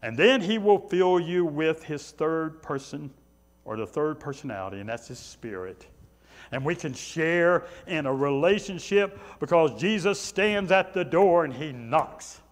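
A pastor in his sixties delivers a sermon in a fairly high male voice. He drives points home with short, repeated phrases, then drops into conversational asides. The church around him adds a long reverb. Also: lively intonation, unhurried. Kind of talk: sermon, preaching. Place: small church sanctuary, indoors.

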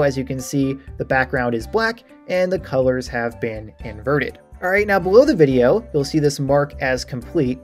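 A man giving an informal, explanatory screen-share walkthrough as an online tutorial creator. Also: a little high. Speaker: math tutorial creator giving a site tour. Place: voice-over for a screen recording.

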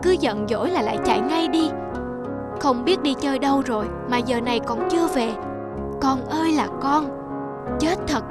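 A woman voicing an anxious, loving young village mother in a cartoon, sounding worried and fretful. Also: high-pitched.

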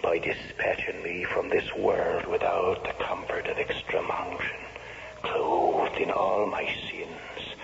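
An actor playing a sly, reproachful ghost in a 1970s radio drama, speaking with slow, insinuating deliberation. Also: male voice, low-pitched, flat delivery, gravelly, exaggerated voice. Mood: grave reproach. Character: ghost of a slain Irishman, sly, reproachful tempter. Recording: echoing, noisy, muffled old radio broadcast.

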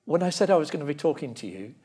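A middle-aged man interviewing on stage in a deliberate, emphatic way. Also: a little high, very animated, warm tone, slightly rough. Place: large lecture theatre stage.

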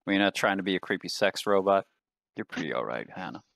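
A man with a very deep voice chats as a tabletop player. He is laconic and casual, swearing freely. The sound is close and dry. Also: lively intonation, quick pace, gravelly, a bit bright, no echo. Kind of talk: casual chat in a roleplaying game.

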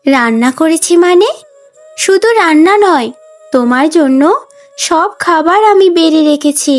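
একজন মহিলা কার্টুন গল্পে স্নেহময়ী, কর্তব্যপরায়ণ তরুণী ছোট বোনের চরিত্রে কণ্ঠ দিচ্ছেন, কথায় নরম অনুনয় আর যত্ন।. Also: female voice, high-pitched, animated, measured pace, soft background music. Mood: concerned, caring. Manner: gentle, pleading, caring. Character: sweet, dutiful, affectionate young younger sister. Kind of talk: Bengali cartoon story voice acting.

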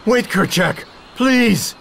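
Young jungle wild man, slow, halting, creaky, imploring, game cutscene with jungle ambience. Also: sits high, a bit bright, a little hiss or hum, heavily acted. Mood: urgent. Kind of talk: animated video game acting.

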